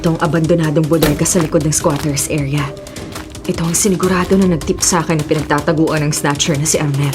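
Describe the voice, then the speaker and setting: A woman's voice: low voice, fairly even intonation, quick pace, music in the background, natural voice. A woman playing a determined, dutiful police officer in a radio drama, firm and resolute in her delivery. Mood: tense, wary.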